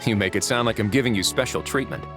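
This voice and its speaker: An actor voicing a composed duke who runs a prison fortress, speaking in a calm, measured and courteous way. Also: male voice, fairly low pitch, lightly acted. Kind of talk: video game voice acting. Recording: soft game music behind.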